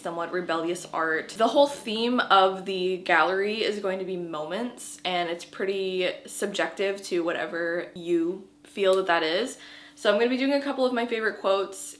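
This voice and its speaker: A woman's voice: lowish voice, fairly bright tone. A young adult woman chatting casually to her camera in her art studio, bubbly, with lots of filler words. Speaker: artist vlogger. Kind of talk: casual vlog chat. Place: home art studio, indoors. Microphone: handheld camera.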